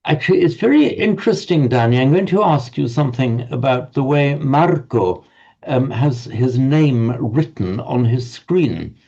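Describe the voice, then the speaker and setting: A man's voice: medium pitch, animated, vocal fry, warm tone, dull audio. A man teaching an online language class, patiently correcting pronunciation and often stammering over his words. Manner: halting, stammering repeats, patient. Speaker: Sanskrit teacher.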